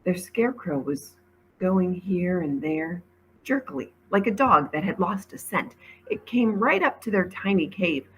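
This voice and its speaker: A woman narrates a story in a fairly low voice. She reads expressively, as a teacher reading aloud. The recording has faint background noise. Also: expressive, unhurried, fairly bright tone, poor audio.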